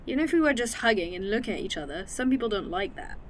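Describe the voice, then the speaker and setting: A woman's voice: mid-range voice, expressive, fast, lightly acted. Woman voicing a blunt time-traveling mechanic, wry, faint hiss, audio drama.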